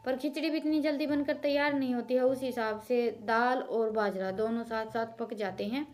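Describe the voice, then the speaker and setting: A woman's voice: on the high side, fairly even intonation, normal speed, darkish tone. A woman in her thirties from Haryana, giving a homely, chatty voice-over as a village cooking vlogger, explaining each step to her viewers. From Haryana, India.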